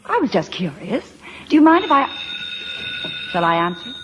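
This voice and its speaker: A woman's voice: fairly high pitch, sing-song, moderate pace, lo-fi sound, noisy background. A woman playing a cool, curious sophisticate with an arch, polite manner in a 1940s radio drama.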